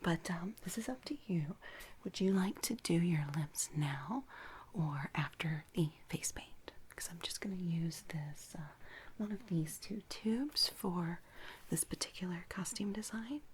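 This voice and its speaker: A woman whispering gently and haltingly in a soft-spoken ASMR personal-attention roleplay, playing an attentive makeup artist. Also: on the low side, slight background noise. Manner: gentle, hushed, unhurried, halting with soft pauses.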